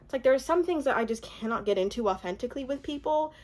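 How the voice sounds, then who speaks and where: A young woman, born in Uganda, telling a personal story in a casual, animated way, laughing often and acting out what others said. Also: high-pitched, fast talker. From born in Uganda, raised mostly in the US. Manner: animated, laughs often, acts out quoted speech. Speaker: vlogger.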